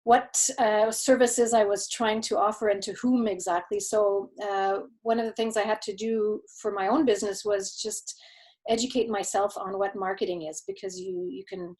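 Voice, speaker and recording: Middle-aged woman, thoughtful and halting. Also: mid-range voice, steady tone, darkish tone. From Canada, Quebec. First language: German. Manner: thoughtful, many false starts and filler words. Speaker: guest expert. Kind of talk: conversational interview answers.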